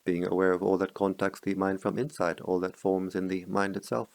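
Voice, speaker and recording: A middle-aged man leads a guided meditation in a slow, calm, softly spoken way, with long pauses between phrases. His voice sits very low and carries a gravelly texture. The sound is close and dry, with no room echo. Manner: chants Pali verses in a low murmur. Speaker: meditation teacher.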